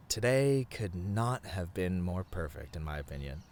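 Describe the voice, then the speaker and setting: A man playing a sweet, bashful young boyfriend in a romantic roleplay, talking to the listener in a tender, halting, earnest way. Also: low-pitched, a little hiss or hum, heavily acted. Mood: content, peaceful. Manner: soft-hearted, halting, sighing, chuckling, gently earnest.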